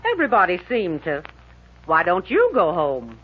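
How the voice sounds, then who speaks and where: A woman's voice: fairly low pitch, wildly swooping pitch, moderate pace. A woman playing a blunt, nosy, folksy cleaning woman in a 1940s radio comedy. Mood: brisk, blunt. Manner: folksy, matter-of-fact. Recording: muffled, hissy 1940s radio recording.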